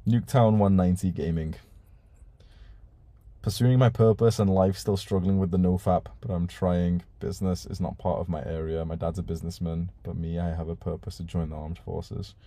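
A young man hosting a live stream in a casual, laid-back way, reading viewer messages and swearing now and then. His voice is very low and mellow with a husky edge. The sound is dry and close. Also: steady tone, moderate pace, dry recording. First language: Urdu.

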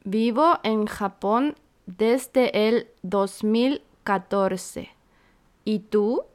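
A woman from Santiago, a teacher co-hosting a slow, scripted beginner lesson with clear, deliberate phrasing. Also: medium pitch. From Santiago, Chile. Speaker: podcast co-host, Spanish teacher.

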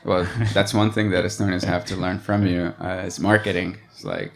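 A man's voice: low voice, level tone, moderate pace, vocal fry. A man hosting an interview, introducing his guest and asking questions with many hesitations. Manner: hesitant, many fillers and restarts.

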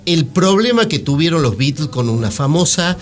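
A man's voice: fairly high pitch, big pitch swings, moderate pace. An Argentine man chatting casually and jokingly as a panelist on a radio show. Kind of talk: casual comic radio chat.

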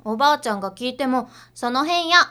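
A woman plays a young underground idol, perky and pushy. The voice is high and bright. The delivery is girlish and breezily familiar, in radio drama style. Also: expressive, fast. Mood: confiding.

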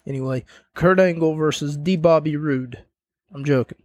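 A man's voice: fairly high pitch, moderate pace, dry recording. A man giving a casual spoken review and recap as host, rambling with jokey asides, his voice starting to wear out. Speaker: wrestling show reviewer, host.

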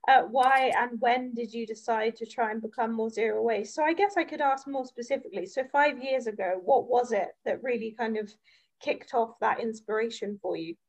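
A woman's voice: on the high side, normal speed, poor audio. A woman hosting a podcast interview, talking in a friendly, conversational way. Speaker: podcast host.

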